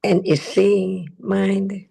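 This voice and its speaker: Female Dhamma teacher, Thai native, slow and probing, husky gravelly tone. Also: mid-range voice, little pitch movement, mellow. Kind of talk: teaching discussion.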